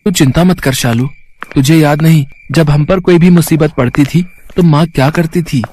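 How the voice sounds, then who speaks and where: A man playing a devout, gentle village boy, a protective older brother who is polite and earnest. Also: on the high side, normal speed, close to an everyday voice. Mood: reassuring.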